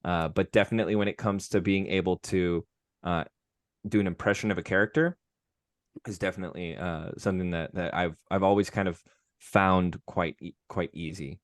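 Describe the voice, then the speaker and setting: A young man speaks modestly on a podcast and keeps restarting his sentences as he talks. His voice is low and the recording is dry and close, with no echo. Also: fairly even intonation. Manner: modest, halting with many restarts. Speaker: podcast guest, amateur voice impressionist. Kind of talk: casual podcast conversation.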